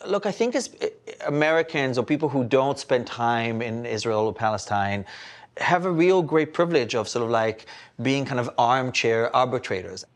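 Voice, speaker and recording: A middle-aged man from Belgium talks through his ideas as a rabbi on a studio panel. He weighs each point and keeps asking "right?" to bring the others along. His voice sits at an ordinary man's pitch and is picked up close on a lapel mic. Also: lively intonation, moderate pace. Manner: thoughtful, often adds "right?" to his points. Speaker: rabbi and spiritual counselor. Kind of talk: panel discussion. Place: indoor studio set with plants.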